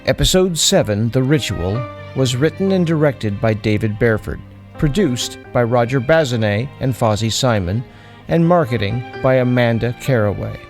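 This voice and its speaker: A man's voice: medium pitch, animated, moderate pace, soft background music. A man reading a scripted podcast outro and credits as the announcer.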